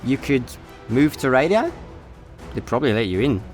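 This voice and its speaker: A man with a Scottish accent acting a laid-back, deadpan space layabout in a comic radio play. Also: mid-range voice, normal speed, soft background music, close to an everyday voice. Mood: sheepish, mild. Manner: deadpan, hesitant understatement. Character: laid-back, careless male space layabout, drily unbothered.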